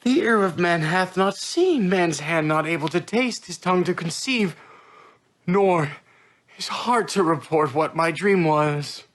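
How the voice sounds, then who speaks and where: A man acting a bewildered, vain simple craftsman in a Shakespearean stage monologue, halting in broken phrases of wonder. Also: high-pitched, a bit bright. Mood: grand, delighted.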